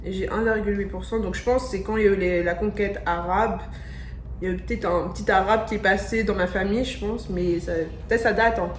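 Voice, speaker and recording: A young woman from France reacting in a casual vlog, excitable and slangy, repeating her exclamations. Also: mid-range voice, fast talker, slight background noise. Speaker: vlogger. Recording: echoey room with faint music.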